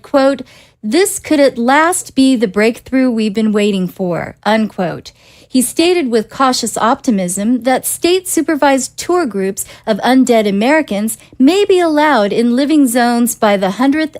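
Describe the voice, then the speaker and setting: A woman voicing a television news anchor reading bulletins. Her delivery is measured and formal, like a studio broadcast. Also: a little high, a little mellow, natural voice.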